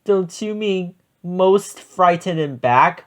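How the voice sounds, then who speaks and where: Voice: medium pitch, expressive, takes it slowly, pitched above the performer's own voice. A storybook reader voicing a nervous, eager little goat. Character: Bumsy, jumpy, nervous young male storybook goat.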